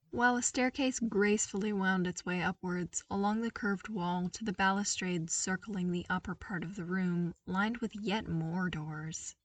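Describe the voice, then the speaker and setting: A woman's voice: on the low side, no echo, recorded from a distance. A woman reading a scripted story as an audiobook narrator. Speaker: podfic narrator.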